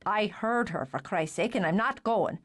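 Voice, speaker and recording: A woman's voice: medium pitch, normal speed, lightly acted. Woman doing a blunt, hot-tempered young woman. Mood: exasperated.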